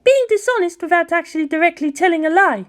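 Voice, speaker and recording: A woman's voice: very high voice, expressive, quick pace, pitched above the performer's own voice. A woman voicing a stern, blunt female monk teacher in a storybook reading. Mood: stern, suspicious.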